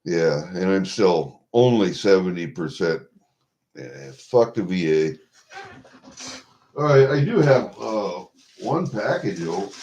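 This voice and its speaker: A man in his sixties from Iowa with a Midwestern American accent, chatting to viewers in a rambling, halting, blunt and salty way. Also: mid-range voice, a little mellow. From Iowa, USA. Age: 60s. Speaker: vlogger. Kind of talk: rambling casual chat to viewers. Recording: dull lo-fi home recording.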